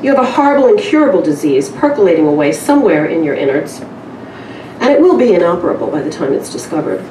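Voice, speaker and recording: A woman reads as a sly, gleefully cruel male bill collector from a short story, spelling out doom with insinuating care. The voice is slightly low and comes from a distance in an echoey room. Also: very animated, mellow. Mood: mocking, cruel. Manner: insinuating, deliberate, drawn-out doom-saying. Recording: roomy, distant live recording with noise.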